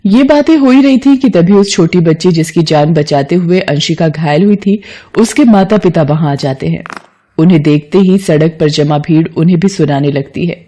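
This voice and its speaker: A woman's voice: lowish voice, normal speed, dark tone. A woman narrating a scripted moral story as a storyteller.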